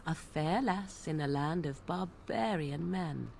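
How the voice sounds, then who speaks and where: An actress playing an aloof, sardonic young witch in a video game, speaking in formal, archaic, measured phrases. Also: sits low, lively intonation, vocal fry, a little mellow, slight background noise, full character voice. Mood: measured storytelling. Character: aloof, sardonic young witch of the wilds, raised an outcast apostate. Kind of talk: dramatic video game voice acting.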